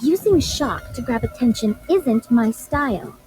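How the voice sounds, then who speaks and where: A woman's voice: a little high, very animated, a little slow, a little mellow, a little hiss or hum. A woman playing a proud, aloof woman in a video game, speaking in a haughty, reserved and terse way. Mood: cool. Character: proud, aloof woman guarding her channel. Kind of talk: video game cutscene voice acting.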